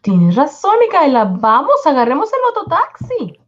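A woman acts a supportive, troubled Peruvian mother in a radio drama, on a slightly muffled recording. Her voice is high and very animated, with expressive sighs. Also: normal speed, very noisy. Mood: brisk. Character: Esperanza, troubled but supportive neighbourhood friend and mother. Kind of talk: amateur Spanish-language radio drama acting.

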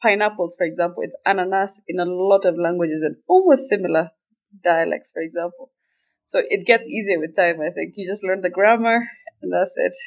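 A woman's voice: fairly low pitch, a little fast. Twenties woman from Kenya, easygoing interview guest with frequent laughter. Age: 20s. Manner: relaxed, laughs often. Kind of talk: casual interview.

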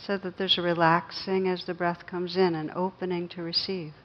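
A woman teaching guided meditation, speaking gently and unhurriedly with long pauses between phrases. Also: lowish voice, little pitch movement, dull audio.

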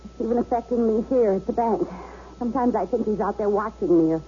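Woman acting an anguished, mellow-voiced bank manager. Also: mid-range voice, fast, close to an everyday voice. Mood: anxious. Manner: earnest, dramatic, pleading. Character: anguished bank manager, fearful, conflicted wife and mother. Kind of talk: 1970s radio drama acting. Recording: dull, noisy old radio broadcast recording.

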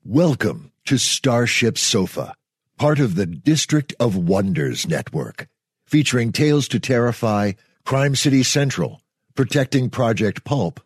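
A man's voice: medium pitch, big pitch swings, measured pace, breathy edge, no room sound. A man announcing a scripted network promo in a dramatic narration style, with music behind him.

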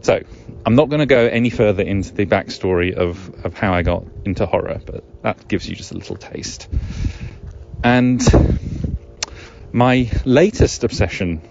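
A man's voice: on the low side, normal speed, noisy background. A man hosting a podcast alone, talking in a casual, chatty, digressive way and often correcting himself. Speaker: podcast host. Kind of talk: casual rambling solo podcast talk. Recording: slightly dull, lo-fi sound.

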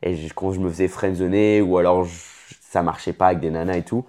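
Casual young Frenchman with a lowish voice. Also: steady tone, quick pace, mellow. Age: late 20s. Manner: informal, franglais slang mixed in. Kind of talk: casual chat about career and personal life.

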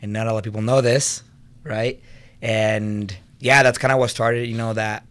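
A man's voice: lowish voice, steady tone, moderate pace, a bit bright. A young man from Venezuela, a guest on a podcast, talking casually about his life with lots of fillers. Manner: personable, many fillers. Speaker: guest, fitness business co-owner. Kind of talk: casual interview chat.

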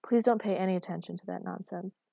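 An earnest, caring father in his thirties, acted by a woman, protesting and put-upon. The tone is dark and relaxed, in a dry storybook recording. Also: medium pitch, quick pace, creaky, no echo. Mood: tender, apologetic. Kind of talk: audiobook character dialogue.

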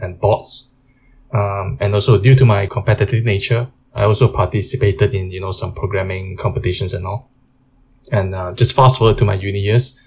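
A man from Malaysia in his thirties, a podcast guest and game co-founder, talking about his background in a measured way with fillers. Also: lowish voice, vocal fry, a little mellow, a little hiss or hum. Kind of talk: casual interview talk.

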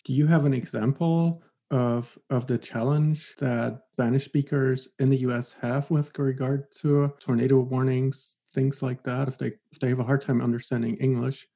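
A man interviewing a guest, putting short, direct questions to him. His voice has a mellow, warm tone at a middle pitch. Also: steady tone, moderate pace, gravelly, hardly any pauses.

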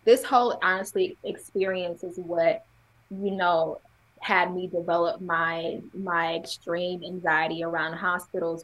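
A woman guest on a podcast with a stutter, telling her story in a candid, animated way and laughing often. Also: on the low side, rough recording. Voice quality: has a stutter. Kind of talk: casual conversational interview.

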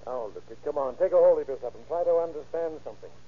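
An actor playing a firm, insistent police interrogator in an old-time radio crime drama. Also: male voice, on the high side, animated, fast, slightly rough. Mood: stern. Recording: dull, noisy vintage radio recording.

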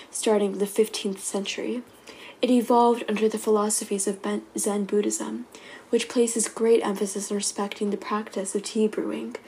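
Mellow woman reading a scripted history. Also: a little high, a little hiss or hum, rough recording. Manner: measured, read from a script. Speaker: podcast narrator. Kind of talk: scripted narration.

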